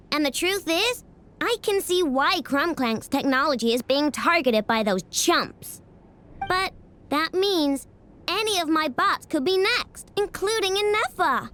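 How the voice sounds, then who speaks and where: A woman's voice: sits high, expressive, a little slow, fairly bright tone. A woman playing a young girl robot inventor in a video game, girlish, earnest, stubborn and protective. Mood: determined. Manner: earnest, determined. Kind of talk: English video game cutscene voice acting.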